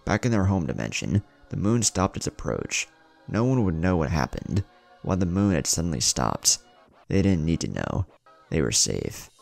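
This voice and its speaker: A man with a gravelly voice reading a dramatic scripted story as its narrator. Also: sits low, sing-song, moderate pace, a little mellow. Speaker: fan fiction narrator. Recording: music under the voice. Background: background music.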